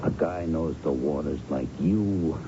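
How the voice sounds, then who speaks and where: An actor playing a cocky, menacing smuggler who needles and coaxes in slangy talk, in old-time radio crime drama style. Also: male voice, rumbling low, unhurried. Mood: coaxing. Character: cocky, menacing smooth-talking gangster smuggler. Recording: muffled, noisy vintage radio recording.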